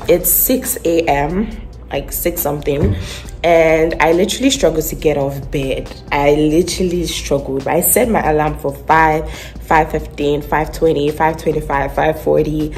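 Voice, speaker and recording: A woman's voice: low voice, a little slow, a little mellow, faint music behind. A young woman in her twenties chatting casually to her phone camera in a home kitchen, rambling with lots of fillers. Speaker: vlogger. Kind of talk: casual vlog chat. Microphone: phone camera at arm's length.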